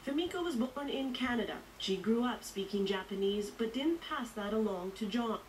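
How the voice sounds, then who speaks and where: A woman with a somewhat high voice reads a news feature in a measured, even tone. She sounds set back from the mic, and clear noise runs underneath. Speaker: reporter narrator. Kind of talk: scripted news narration. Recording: distant voice with noticeable noise.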